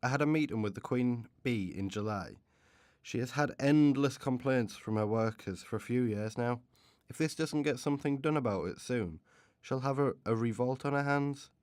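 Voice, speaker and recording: A man plays an impatient, opinionated spirit of a season in a radio play. His voice is mid-pitched and closely, dryly recorded, with a blunt way of airing complaints. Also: moderate pace, a little mellow, dry recording, clean studio sound, natural voice. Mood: aggrieved. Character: opinionated, impatient male season spirit who defends bees. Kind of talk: radio drama acting.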